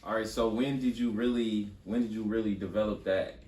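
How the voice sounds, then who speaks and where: A man's voice: fairly low pitch, steady tone, brisk, a little mellow, echoey room, far from the mic. A young man hosting a podcast interview, talking loosely with casual slang and repeated phrases. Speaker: podcast host. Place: podcast studio.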